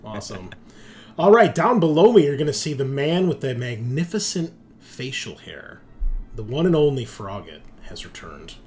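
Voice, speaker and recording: A man's voice: mid-range voice, big pitch swings, moderate pace, darkish tone, faint music behind. A man hosting a tabletop roleplaying show, chatting casually with slangy, informal enthusiasm. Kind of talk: casual chat, introducing players.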